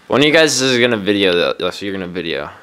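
A young man teases his friends in casual banter, and his pitch swings around a lot. Faint noise sits behind his voice. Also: animated, fast talker, a bit bright. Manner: teasing, laughing. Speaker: friend, driver.

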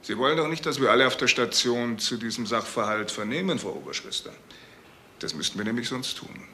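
An actor playing a coolly official police detective, pointed and pressing, in a German crime radio drama. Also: male voice, mid-range voice, lively intonation, a little fast, sharp tone, slight background noise. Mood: firm questioning.

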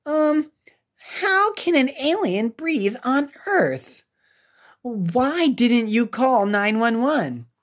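A woman's voice: a little high, big pitch swings, drawn-out words, voice pushed up, full character voice. An American woman voicing a curious, skeptical young animal schoolchild in a storybook, questioning and emphatic. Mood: curious, puzzled.

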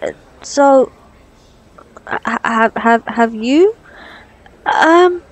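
A woman playing a flustered, curious doctor on a date in an ASMR roleplay, stammering nervously and haltingly. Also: on the high side, lively intonation. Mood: hesitant, curious. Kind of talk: ASMR roleplay audio drama.

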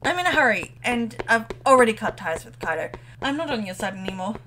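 A woman's voice: a little high, very animated, a little fast, fairly bright tone. A woman playing a bold, defiant young warrior rebel, earnest and emphatic, in a fan-made manga drama. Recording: clearly noisy track.

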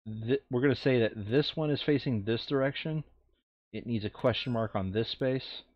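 A man's voice: medium pitch, moderate pace, dry recording, dull audio. A man playing a tabletop game online, switching between casual chat and reading game text aloud. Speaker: board game player reading out scenario text.

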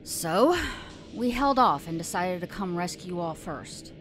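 A woman's voice: fairly low pitch, expressive, unhurried, a bit bright. A woman playing a curt, tough female guide in a sci-fi audio drama. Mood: matter-of-fact. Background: music.

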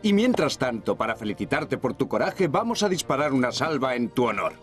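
An actor playing a genial middle-aged army commander in a dubbed cartoon, courteous and commanding. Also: male voice, medium pitch, sing-song, a little fast, close to an everyday voice. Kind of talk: Spanish cartoon dub. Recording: cartoon with music behind.

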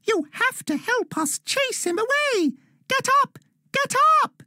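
A tiny, excitable male elf squeaks his lines far above any normal speaking voice. He calls out urgently and brightly, like a storybook sidekick. The delivery is slow and clear, recorded close and dry. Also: no room sound, full character voice.

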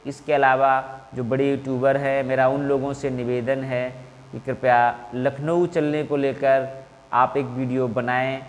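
A man, making a measured, formal appeal to camera as an exam coaching teacher. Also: medium pitch, little pitch movement. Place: small indoor classroom in front of whiteboard. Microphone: clip-on lapel mic. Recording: reverberant, hard-walled room.

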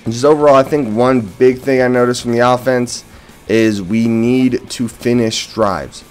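A man's voice: medium pitch, fairly even intonation, measured pace, smooth. An American man giving casual post-game football commentary as a fan and host, opinionated and emphatic on key words. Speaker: sports podcast host and fan. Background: background music.

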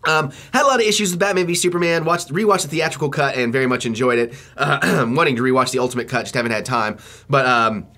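A young man with a stuffy head cold and a fading voice, gushing excitedly in a casual reaction video from a small home studio. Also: medium pitch, quick pace. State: stuffy, losing his voice from a cold. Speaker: trailer reaction vlogger.

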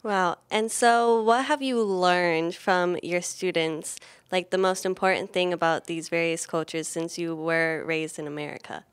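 A woman's voice: lowish voice, steady tone, measured pace, clear, resonant tone, dry recording. A teenage girl interviewing teachers in a classroom with a handheld mic, asking polite scripted questions. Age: teens. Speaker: student reporter. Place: classroom indoors.

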